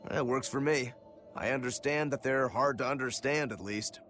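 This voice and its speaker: A man's voice: mid-range voice, moderate pace, a bit bright, lightly acted. Man playing an easygoing, wry game adventurer. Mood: amused. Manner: laid-back, dryly wry. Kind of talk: video game voice acting. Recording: music playing behind the voice.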